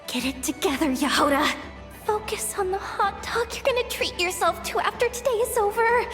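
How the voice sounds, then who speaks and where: A woman's voice: very high voice, moderate pace, fairly bright tone, soft background music. A woman playing a frazzled, anxious young guild organizer in a video game, fretful and dramatic. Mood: panicked. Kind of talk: video game character acting.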